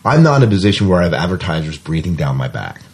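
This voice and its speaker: A man co-hosting a podcast, chatting casually about the news in a husky voice. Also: low voice, sing-song, fast talker, a little mellow. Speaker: podcast host.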